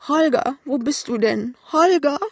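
A tipsy middle-aged mother acted by a woman reader in a literary audio drama, pitched high above the narration. She whines and complains with tearful indignation, pushing her demands loudly and self-pityingly. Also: unhurried, dry recording. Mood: whiny searching. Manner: whiny, complaining, insistent.

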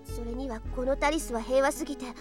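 A high, youthful princess, played by a woman speaks with earnest, impassioned conviction. She is a strong-willed young knight in an anime audio drama, with music playing behind her. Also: steady tone, fairly quick, close to an everyday voice.

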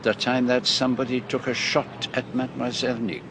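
An actor playing a shrewd, courteous detective with a French-speaking accent in a radio mystery, formal and precise in manner. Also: male voice, mid-range voice, little pitch movement, fairly quick, noisy background, natural voice. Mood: courteous, probing.

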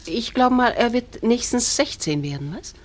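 An actress playing a brisk, no-nonsense but fond middle-aged small-town mother in a German radio drama. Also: a little high, lively intonation. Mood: brisk, chatty.

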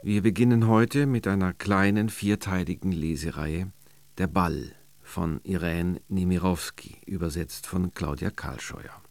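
Deep, gravelly male radio announcer. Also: a little slow, a little mellow, faint music behind. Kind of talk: scripted programme introduction. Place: dry radio studio.

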